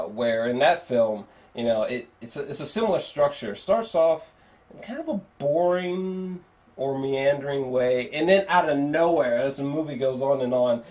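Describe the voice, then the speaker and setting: Male film reviewer, mid-pitched, off the cuff and foul-mouthed, emphatic, meandering delivery for a casual video review. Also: a little mellow. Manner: rambling, profanity-laced, emphatic.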